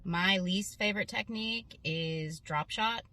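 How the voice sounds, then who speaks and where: A woman's voice: mid-range voice, a little slow, over music. A woman from Maine giving casual profile answers about herself as an angler. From Maine, United States. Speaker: kayak angler. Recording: distant from the mic.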